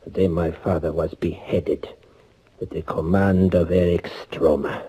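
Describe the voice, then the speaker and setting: An actor playing a bitter young underground avenger in a 1940s radio thriller, sardonic and deliberate, with a husky voice. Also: male voice, low-pitched, level tone, warm tone, exaggerated voice. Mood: bitter, intense. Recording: muffled 1940s radio recording.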